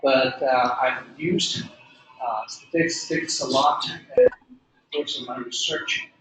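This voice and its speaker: A man's voice: medium pitch, takes it slowly. Hesitant male lecturer. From Hong Kong. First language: Cantonese. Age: middle-aged. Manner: hesitant, many fillers and restarts. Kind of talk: classroom lecture. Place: lecture room. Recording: echoey room, faint noise.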